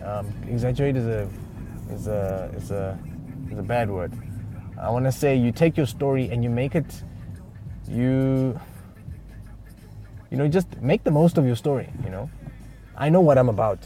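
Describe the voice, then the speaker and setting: A man's voice: medium pitch, normal speed, pauses often. A man from Uganda, giving casual unscripted advice on music careers with lots of fillers and restarts. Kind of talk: casual spoken advice monologue.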